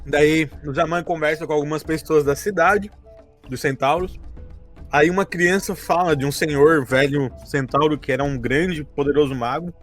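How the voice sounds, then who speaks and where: Casting: Brazilian man, slightly high voice, casual and jokey game-recap podcaster, light music bed underneath. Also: a bit bright. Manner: joking, quick to laugh. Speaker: game master of a tabletop RPG campaign, podcast member.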